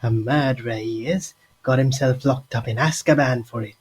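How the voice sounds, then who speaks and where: Woman as husky, cheeky young bus conductor. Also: extremely low pitch, normal speed. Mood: gossipy, ominous. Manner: colloquial, chatty, gossipy. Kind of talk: audio drama character acting. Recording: music under the voice.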